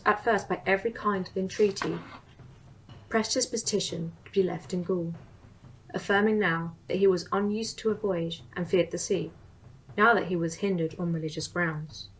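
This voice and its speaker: A young woman giving a formal, steady dramatic reading of an ancient historical account. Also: medium pitch, normal speed, breathy edge, low-quality recording. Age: young adult. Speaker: student reader.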